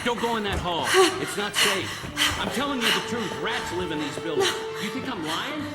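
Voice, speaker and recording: A weary male soldier who has survived a war, talking in a folksy, wry ramble. His voice is heavy with gravelly creak, in a radio drama style with music playing behind him. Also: high-pitched, animated, moderate pace. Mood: urgent, alarmed. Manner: folksy, rambling, colloquial monologue delivery.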